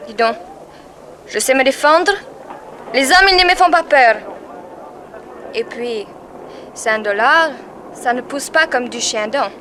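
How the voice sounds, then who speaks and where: A woman's voice: sits high, slow pace, long pauses, background noise. An actress playing a bold, proud eighteen-year-old Russian immigrant girl in a 1960s French radio drama, with a cheeky, self-assured manner. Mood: defiant.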